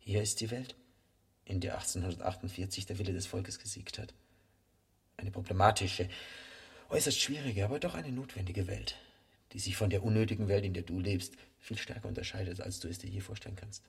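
Calm devil tour guide in a radio drama, raspy and creaky, measured lecturing tone. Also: male voice, fairly low pitch, full character voice. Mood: solemn, explanatory. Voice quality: husky, gravelly. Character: devil, calm eerie male guide through unrealized worlds. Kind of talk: German radio play acting.

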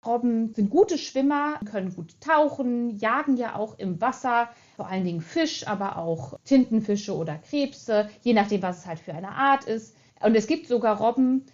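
Researcher guest, woman, raised pitch, explaining factually on a somewhat muffled recording. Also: moderate pace, few pauses, a little mellow. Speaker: seal researcher, expert guest. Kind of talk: clear explanatory interview answers.

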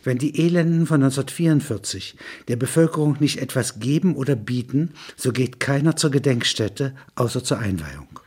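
Man, scripted literary reading, strongly raspy, medium pitch. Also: expressive, brisk, few pauses, darkish tone. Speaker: narrator. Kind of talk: scripted literary narration with quoted dialogue.

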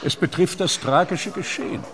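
A man's voice: a little high, moderate pace, over music, natural voice. A man playing an earnest opera theorist in a radio play interview, speaking formally, learnedly and with emphasis. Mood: earnest, didactic. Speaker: interviewed author.

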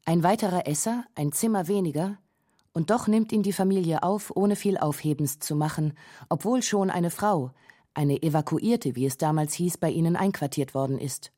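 Female documentary narrator, deep mellow tone, composed scripted read for radio. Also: normal speed. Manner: composed, factual.

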